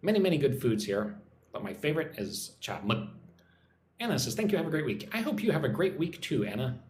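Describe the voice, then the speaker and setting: An American man runs a relaxed English lesson on a livestream, reading out viewer comments and chatting back by name. His voice rises and falls in an animated way. The room has a noticeable echo with a faint hiss underneath. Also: fairly high pitch, a little fast. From United States. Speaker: English teacher. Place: home in Vietnam.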